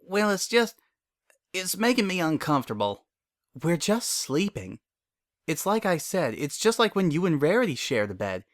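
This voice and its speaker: Man voicing an earnest, higher-pitched bookish unicorn mare. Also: very animated, moderate pace, dry recording, studio quality. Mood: uneasy. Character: anxious bookish female unicorn, weary and earnest. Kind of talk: dramatic fan fiction reading.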